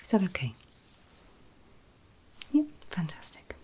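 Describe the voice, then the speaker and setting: A woman whispering very gently and reassuringly in a breathy, unvoiced ASMR style, as a medical examiner in a roleplay, close to the microphone. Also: lowish voice, sing-song, takes it slowly. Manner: gentle, reassuring, checking in often. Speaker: ASMR performer playing an ear examiner.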